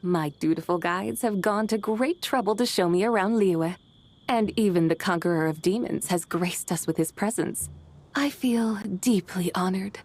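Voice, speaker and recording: A woman's voice: mid-range voice, darkish tone, lightly acted. Woman playing a serene, courtly immortal lady. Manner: formal, measured, poised. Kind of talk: video game voice acting. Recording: background music.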